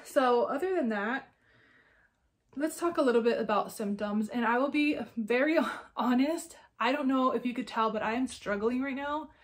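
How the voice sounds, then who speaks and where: Young woman, nasal, congested, slightly breathless, casual vlog chat, higher voice. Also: normal speed. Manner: chatty, many "like" fillers. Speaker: crafting podcast host.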